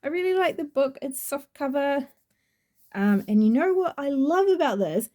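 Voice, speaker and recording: A woman's voice: high voice, big pitch swings, normal speed, warm tone. A woman with a New Zealand accent reviewing an oracle deck in a chatty, gushing way, rambling with fillers. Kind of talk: casual product review.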